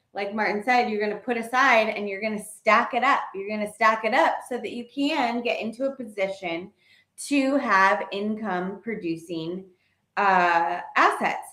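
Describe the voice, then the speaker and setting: A woman co-hosting a casual chat about money, talking in an animated way and sometimes losing her place. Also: mid-range voice, echoey room. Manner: animated, laughs often, loses her place. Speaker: co-host. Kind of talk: casual financial advice chat.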